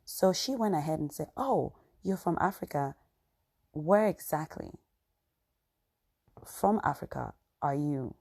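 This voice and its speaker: A woman's voice: sits low, vocal fry, warm tone. A woman with a Cameroonian accent telling a personal story to her viewers in an earnest, chatty way. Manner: earnest, conversational, frequent "you know" asides. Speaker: vlogger.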